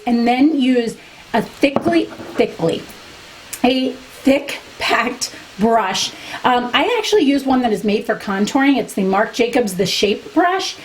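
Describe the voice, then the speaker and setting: Woman, beauty vlogger, losing her voice, chatty and candid, fairly high voice, faint room noise. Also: poor audio. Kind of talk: product review to camera.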